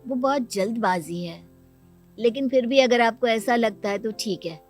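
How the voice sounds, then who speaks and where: A woman's voice: fairly high pitch, moderate pace, faint music behind, close to an everyday voice. A woman voicing an earnest, accommodating grown younger brother in a cartoon moral story. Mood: conciliatory. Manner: conciliatory, earnest.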